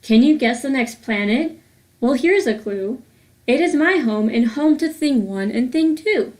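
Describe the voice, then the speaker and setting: A woman reading a rhyming picture book aloud for children in a deliberate, sing-song way. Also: sits high, animated, darkish tone. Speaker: host of a children's read-aloud series.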